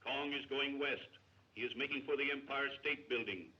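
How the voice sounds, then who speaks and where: An actor playing an official dispatcher in 1930s film style, giving terse, urgent announcements on a muffled old soundtrack. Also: male voice, mid-range voice, moderate pace, pushed and bright, recorded from a distance. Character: clipped official male radio dispatcher.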